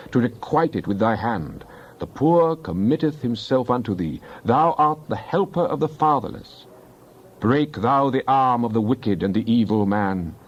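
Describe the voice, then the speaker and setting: A man reads scripture as a dramatic narrator, solemn and declamatory. His pitch sits in the middle of the male range and he phrases each line deliberately. The recording is somewhat dull and band-limited. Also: a little mellow. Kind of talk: dramatized Bible reading.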